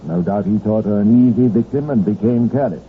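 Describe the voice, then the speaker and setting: Low-voiced man narrating vintage crime radio. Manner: measured, formal storytelling. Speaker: narrator and host. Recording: tinny, noisy 1950s radio recording.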